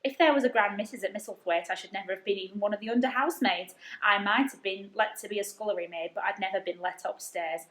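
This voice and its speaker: A woman's voice: mid-range voice, fast, hardly any pauses, fairly bright tone, roomy sound, close to an everyday voice, poor audio. A woman voicing a good-natured, frank young Yorkshire housemaid with homely, blunt dialect speech in a storybook reading. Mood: chatty, frank.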